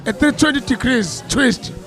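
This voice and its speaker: A South African man with a gravelly voice, an actor chatting as an interview guest, laughing and repeating words as he thinks. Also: extremely high pitch, animated, normal speed, a bit bright, faint music behind. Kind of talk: casual interview chat.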